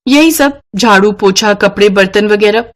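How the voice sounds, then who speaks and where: A woman voicing a fond, teasing village mother in a cartoon moral story, affectionate and gently scolding. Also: medium pitch, normal speed, natural voice.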